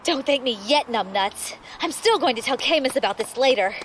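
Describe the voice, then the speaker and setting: A woman's voice: high voice, animated, normal speed, a bit bright, a little hiss or hum. An actress playing a brash, bossy young female space pirate in an audio drama. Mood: scolding. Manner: scolding, blunt.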